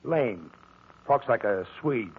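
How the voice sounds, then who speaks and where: A man's voice: medium pitch, animated, takes it slowly, slight background noise. An actor playing an anxious, worn-out young man on the run in an old-time radio drama. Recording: muffled tinny old radio recording.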